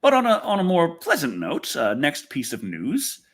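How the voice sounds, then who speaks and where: A man's voice: a little high, huge pitch swings. Rambling man chatting casually about film news. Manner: rambling, halting, repeats phrases. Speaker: podcast host.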